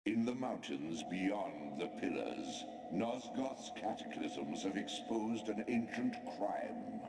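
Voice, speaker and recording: An actor playing an ancient godlike spirit in a video game, giving grave, oratorical exposition in a deep, gravelly voice. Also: male voice, expressive, slow, darkish tone. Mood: solemn, commanding. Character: ancient godlike spirit, male, solemn, commanding mentor. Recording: large echoing hall, slightly distant, faint noise.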